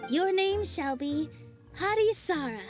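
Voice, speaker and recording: A woman playing a gentle, earnest young forest fairy in an anime-style video game. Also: very high-pitched, expressive, unhurried, soft background music. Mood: tender, welcoming.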